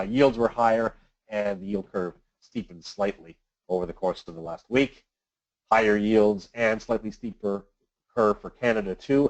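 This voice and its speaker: A man presenting a semi-scripted financial briefing as an analyst, explaining things in a measured, matter-of-fact way. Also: lowish voice, lo-fi sound. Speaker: financial analyst hosting a weekly market briefing. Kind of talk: semi-scripted market commentary.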